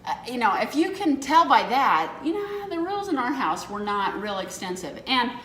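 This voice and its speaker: A woman's voice: on the high side, very animated, moderate pace, fairly bright tone, reverberant, slight background noise. A woman in her fifties co-hosting a casual chat, telling rambling stories that keep correcting themselves. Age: 50s. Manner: rambling, self-correcting storyteller. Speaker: co-host.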